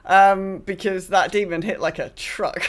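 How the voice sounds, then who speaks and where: A woman's voice: medium pitch, steady tone, moderate pace. Female podcast co-host, mid-range voice, casual, chatty, giggly. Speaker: podcast host and game master.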